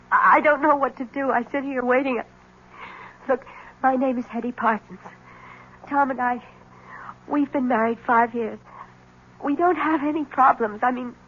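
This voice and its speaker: An actress playing a nervous young wife whose husband has vanished, speaking in halting, stammering lines in a 1970s radio drama. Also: female voice, sits high, breathy edge. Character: anxious young wife of a vanished man, secretive. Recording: thin phone-like old radio broadcast.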